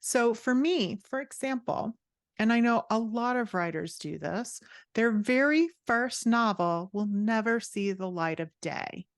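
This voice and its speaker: A woman's voice: on the high side, animated, a little slow, dry recording, studio quality. A woman writing coach talking conversationally as an interview guest, giving blunt, emphatic advice and acting out quotes as she goes.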